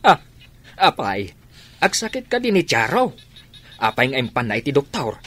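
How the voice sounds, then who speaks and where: Inquisitive father, very lively pitch. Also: normal speed, slight background noise. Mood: puzzled. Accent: Ilocano. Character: concerned, inquisitive father of the household. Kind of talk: Ilocano radio drama acting.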